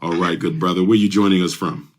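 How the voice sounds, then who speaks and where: Male call-in host, low voice, encouraging and repeating callers' answers. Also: fairly even intonation, quick pace. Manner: affirming, repeats "yes, sir" and "my brother". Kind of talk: live call-in show.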